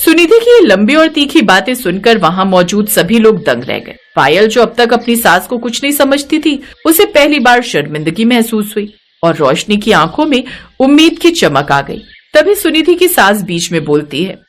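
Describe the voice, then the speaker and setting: A woman's voice: on the high side, sing-song, moderate pace. A woman storyteller giving scripted narration of a moral tale.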